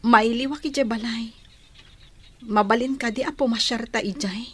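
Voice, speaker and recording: An actress playing an anxious, homesick young wife in a radio drama, speaking in a plaintive, pleading way. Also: female voice, on the high side, fairly even intonation, moderate pace, husky. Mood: meek, homesick. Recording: noisy radio drama track with ambience.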